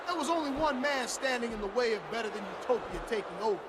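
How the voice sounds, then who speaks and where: Arrogant wrestling villain, high-pitched, noisy arena. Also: male voice, lively intonation. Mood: gloating, domineering. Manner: pompous, taunting, deliberate emphasis. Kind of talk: wrestling promo. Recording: noisy arena with loud crowd.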